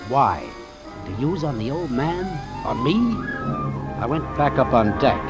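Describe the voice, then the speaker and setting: A man's voice: medium pitch, animated, a little slow. A man playing a wary, hard-boiled doctor who narrates his sea adventure in terse, suspenseful old-time radio style. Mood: tense, brooding. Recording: vintage radio drama with music underneath.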